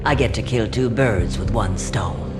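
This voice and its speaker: A woman's voice: very deep voice, animated, a little slow, over music. An actress playing a cold, mocking female crime boss in a video game, taunting and mock-sweet as she commands. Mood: gloating, mocking.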